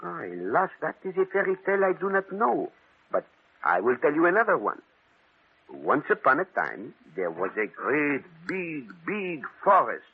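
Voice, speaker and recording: An actor playing a courteous, shrewd detective with a French accent and formal, deliberate phrasing, in old-time radio drama style. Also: male voice, a little high, very animated, slightly rough, heavily acted. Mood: gentle, kindly. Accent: French-speaking European. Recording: muffled, narrow old radio broadcast.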